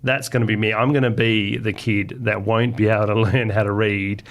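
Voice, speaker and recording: A man's voice: fairly low pitch, flat delivery, moderate pace. A man chatting casually as an interview guest, thinking aloud as he recalls memories. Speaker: children's author, podcast guest.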